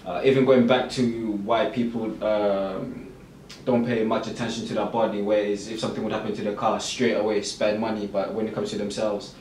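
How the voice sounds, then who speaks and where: British man, low voice, thoughtful and hesitant, echoey room, faint hiss. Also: level tone, normal speed, darkish tone. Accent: British English. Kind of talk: casual podcast chat. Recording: echoey room, mic at a distance, faint noise.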